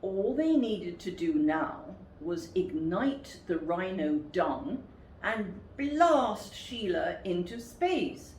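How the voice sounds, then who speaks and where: A woman reading a picture book aloud to children in an expressive, deliberate way. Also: on the low side. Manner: expressive, deliberate, asks listeners questions. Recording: roomy, distant, noisy recording.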